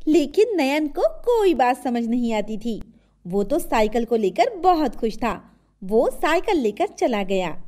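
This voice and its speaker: A woman's voice: high voice, warm tone. A woman narrating a scripted children's story.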